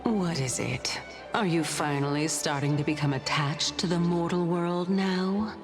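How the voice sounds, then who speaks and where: An actress playing a calm, teasing, otherworldly woman in a video game, composed and gently probing. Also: lowish voice, unhurried, music in the background. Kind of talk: scripted game dialogue.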